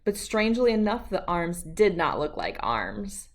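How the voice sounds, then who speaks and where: A woman reading a children's storybook aloud with expressive, deliberate, emphatic phrasing. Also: mid-range voice, vocal fry. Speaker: children's book read-aloud host.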